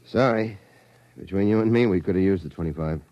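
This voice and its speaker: A man playing a gruff, slangy, down-on-his-luck rocket pilot in a 1950s radio drama. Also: low voice, a little fast, no room sound, lo-fi sound, natural voice. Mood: brusque, weary. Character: blunt down-on-his-luck rocket pilot running a fairground ride.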